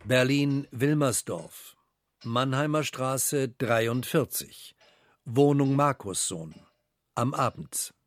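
A man's voice: mid-range voice, slow, lightly acted. An actor playing a cold, terse man reporting in official tones in a German radio drama, speaking in clipped phrases. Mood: cold, factual.

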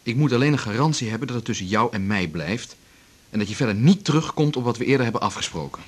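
An actor playing a secretive, controlled husband in a naturalistic radio drama, husky and measured. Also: male voice, mid-range voice, animated, close to an everyday voice. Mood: firm, controlled. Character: secretive husband buying a secret deal, calm but firm. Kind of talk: naturalistic 1980s Dutch radio drama acting.

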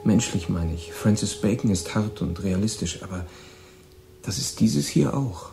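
A man's voice: lowish voice, animated, a little slow, creaky. An actor playing a guarded, lonely American runaway teenage boy in a German radio drama. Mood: wistful, reflective. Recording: music behind the voice.

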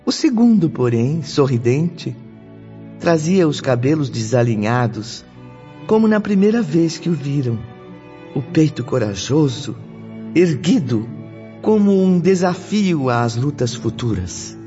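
Male narrator, Brazilian accent, solemn radio drama storytelling, expressive pitch swings, dull recording, prominent music. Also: a little slow. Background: prominent background music.

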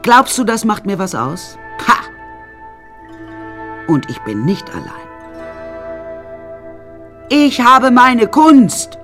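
A woman's voice: on the high side, huge pitch swings, slow pace, pauses often, a bit bright, full character voice. A vain, self-important woman addresses her listeners in a radio play. Her middle-aged voice swoops widely and theatrically, full of deluded grandeur, with some music in the recording. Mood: taunting, needling. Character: vain, deluded woman artist confined in an asylum.

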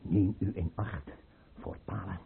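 An actor playing an imperious, suspicious tsar in a Dutch radio play, with an abrupt, commanding and erratic manner. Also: male voice, low-pitched, steady tone, measured pace, creaky, slight background noise, exaggerated voice. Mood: suspicious, volatile. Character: imperious, suspicious, volatile tsar barking orders. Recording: muffled old radio play recording.

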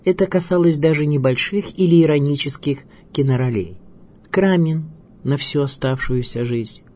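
A man narrating a scripted radio biography in a measured, expressive storytelling manner. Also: mid-range voice. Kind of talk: scripted documentary narration with dramatic readings. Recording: music bed under voice. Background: music.

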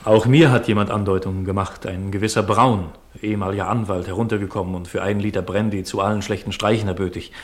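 A low voice acted by a man from a 1950s German radio drama. He is a gentleman widower, dignified yet bitter, narrating in formal and articulate sentences. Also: steady tone, fast talker, hardly any pauses, lightly acted. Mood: bitter, recounting.

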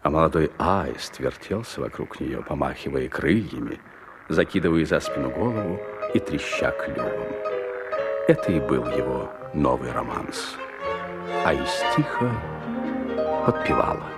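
A man narrating a fairy tale from a script in an expressive storyteller's style. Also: rumbling low, measured pace, long reverb tail, over loud music.

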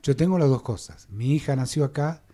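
Un hombre de Buenos Aires con acento argentino, conductor de radio, que habla con ironía y preguntas retóricas. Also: male voice, medium pitch, lively intonation, fairly quick, warm tone. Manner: wry, uses rhetorical questions. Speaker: radio host. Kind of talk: casual radio conversation.

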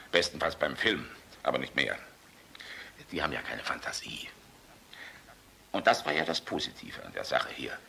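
A deep, gravelly radio-play general, swinging widely in pitch. He is a sardonic, flirtatious drinker with a cutting, forceful edge and a swaggering charm. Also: slight background noise, heavily acted. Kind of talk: German radio drama acting.

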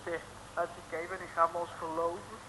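A woman's voice: on the low side, steady tone, a little fast, bright, forceful tone, slight background noise, rough recording. An actress in a 1950s radio play playing a gushing young female detective sidekick who keeps tagging her sentences with a questioning "hè". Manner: chirpy, gushing, keeps adding "hè". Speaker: assistant detective.

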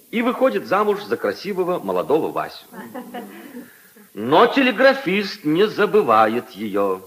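An actor playing a witty aristocratic husband who entertains guests with theatrical, mock-solemn teasing storytelling in 1950s radio drama style. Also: male voice, medium pitch, a bit bright, exaggerated voice, rough recording.

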